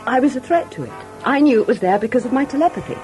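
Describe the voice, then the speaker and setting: A woman reads the part of an earnest telepathic alien in a sci-fi radio skit. Her voice is mellow and calm but moves in pitch, with music behind. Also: very fast, lightly acted, rough recording. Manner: earnest explaining, read from script.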